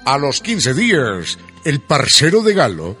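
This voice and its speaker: A man's voice: medium pitch, wildly swooping pitch, measured pace, pushed and bright, faint music behind. A man narrating a scripted radio drama in a storytelling manner.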